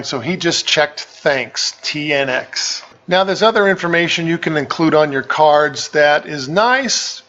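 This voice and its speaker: Higher-pitched man explaining, slightly dull sound. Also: a bit bright. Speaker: host of a ham radio tutorial channel. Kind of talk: informal instructional explanation.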